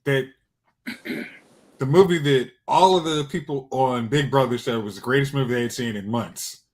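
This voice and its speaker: A man's voice: mid-range voice, normal speed. A man co-hosting a movie talk show in casual banter, with a teasing, playful way of talking.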